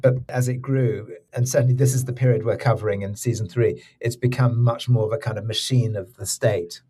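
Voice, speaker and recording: Man, mid-pitched, slightly raspy, conversational interview guest, explanatory, informal phrasing. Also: fairly even intonation, brisk, warm tone. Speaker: audio drama director and executive producer, interview guest.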